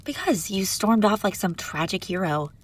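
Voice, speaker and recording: A woman's voice: medium pitch, animated, normal speed, breathy edge. A woman playing a haughty, bratty young runaway princess in a roleplay audio drama, sarcastic and bickering with the listener. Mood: mocking, teasing.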